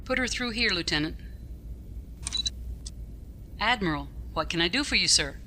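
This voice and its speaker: A woman's voice: lowish voice, normal speed. A woman playing a stern, no-nonsense starship captain in a science fiction audio drama, with clipped, formal authority. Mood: formal, measured. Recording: steady background ambient noise.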